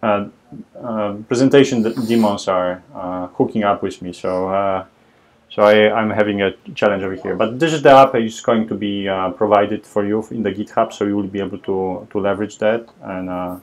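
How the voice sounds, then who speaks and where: A man with a Polish accent, presenting a live coding workshop, talking haltingly with many fillers and restarts. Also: on the low side, steady tone. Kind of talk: live coding demo lecture.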